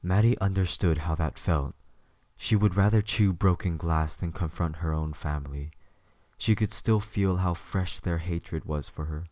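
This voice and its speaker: Male narrator, very deep, dark mellow tone, dry recording, scripted storytelling. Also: a little slow, dry recording.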